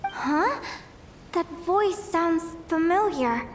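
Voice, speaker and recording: A woman playing a dreamy, troubled young naturalist explorer in a video game, speaking in a wistful, halting way. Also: very high-pitched, animated, a little hiss or hum, full character voice. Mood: dazed, confused. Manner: wistful, hesitant, halting delivery. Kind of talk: dramatic video game voice acting.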